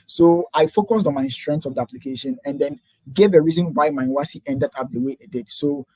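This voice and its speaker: A man's voice: fairly high pitch, darkish tone, no room sound, rough recording. A man in his twenties from Ghana with a Ghanaian accent, eagerly explaining his background as an interview guest. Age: 20s. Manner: quick, eager, explaining step by step. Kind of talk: interview answers.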